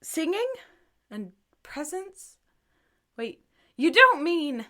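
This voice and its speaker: A woman playing an excitable, chatty wandering musician, eager and dramatic, in a radio drama. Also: sits high, drawn-out words, heavily acted. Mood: worried.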